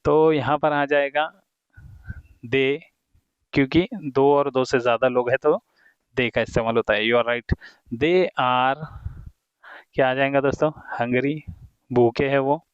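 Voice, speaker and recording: A man teaching English in Hindi who reads the English example sentences slowly and deliberately and keeps calling his viewers friends. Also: mid-range voice, steady tone, clean tone, no echo, muffled recording. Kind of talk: explaining a textbook lesson to viewers.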